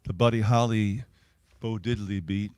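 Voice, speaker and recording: A man's voice: fairly low pitch, steady tone, moderate pace, a little mellow, no room sound. A man who is a singer-songwriter talks casually between songs at a livestreamed gig, rambling and self-effacing.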